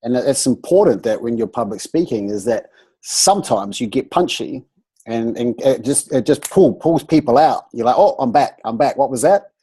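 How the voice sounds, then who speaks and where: Brief: nasal man, voice coach, husky and dark, animated video-call chat. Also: mid-range voice, moderate pace. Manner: animated, playful, trails off into restarts. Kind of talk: casual group video chat.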